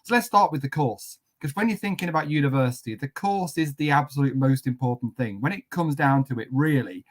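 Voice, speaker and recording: A man hosting a relaxed online student panel, jovial and teasing as he hands the floor to each guest. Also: mid-range voice, fairly quick. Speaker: host.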